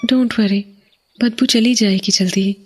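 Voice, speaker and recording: A woman playing an uneasy, suspicious young wife in a horror audio drama, hesitant and hushed, lingering on words in a breathy voice. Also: mid-range voice, steady tone, unhurried. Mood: lighter, coaxing. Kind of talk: Hindi horror audio drama, acted dialogue.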